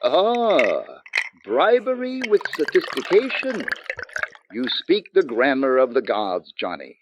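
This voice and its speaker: An actor playing a boozy ex-doctor barfly in a radio detective drama, florid, rambling and wryly theatrical. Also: male voice, medium pitch, sing-song, takes it slowly, dull audio, a little hiss or hum. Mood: grandiloquent, musing. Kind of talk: old-time radio comic-relief acting.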